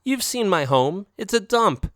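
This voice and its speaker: Guy doing a high whiny manipulative girl cat, big swoopy voice, dry sound. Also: big pitch swings, unhurried, a bit bright, dry recording, heavily acted. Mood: wheedling. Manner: whiny and manipulative, breaking into sobs. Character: pushy, dramatic young female alley cat.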